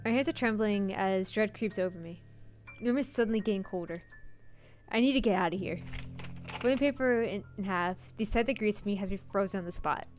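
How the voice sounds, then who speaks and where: A woman's voice: mid-range voice, fairly quick. Woman dramatically reading a horror game aloud. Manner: dramatic read-aloud with stumbles. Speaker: game streamer. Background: game music.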